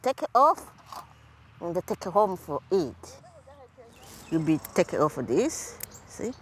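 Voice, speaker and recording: A woman's voice: on the low side, big pitch swings, unhurried, slight background noise. A woman farmer from Burundi. She speaks in brief, simple broken English and mixes in Swahili. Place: outdoors on a farm.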